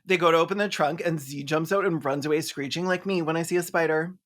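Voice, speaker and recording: A mid-pitched video essayist recaps a movie for comedy. The delivery is sardonic and theatrical, with playful mock seriousness. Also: steady tone, quick pace, heavy background noise. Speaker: video essayist and film reviewer. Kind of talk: sarcastic comedic recap commentary.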